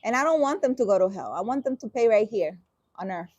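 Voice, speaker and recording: Sarcastic, exasperated woman with a high voice. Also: animated, darkish tone, no echo, dull audio. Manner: halting, restarting sentences. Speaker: spiritual vlogger. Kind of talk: reading a dream interpretation aloud and commenting.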